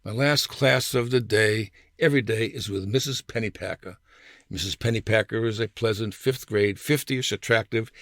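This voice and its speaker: An older man with a creaky, gravelly voice reads a humorous personal story from a script. He is wry and livens up when quoting dialogue. The sound is close and dry. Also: mid-range voice, fairly bright tone, no echo. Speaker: writer reading own personal essay.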